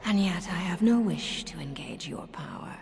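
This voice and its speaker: A woman voicing a calm, haughty, menacing female demon in a fantasy video game, breathy and half-whispered. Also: lowish voice, normal speed. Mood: silky, haughty.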